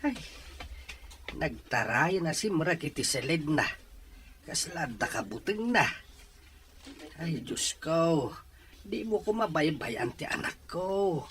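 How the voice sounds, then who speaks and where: A middle-aged Filipino father in an Ilocano audio drama, acted by a man. His voice is bright and very animated, earnest and emphatic in delivery. Slight noise sits on the recording. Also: a little high, slow pace, long pauses, exaggerated voice. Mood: worried. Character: worried widowed father, stern and plain-spoken.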